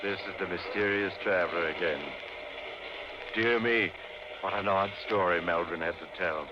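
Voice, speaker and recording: A man's voice: deep voice, moderate pace, natural voice. A man playing a mysterious, wry traveler who hosts a radio mystery, confiding, droll and courteous. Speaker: host. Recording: muffled noisy radio recording, train ambience.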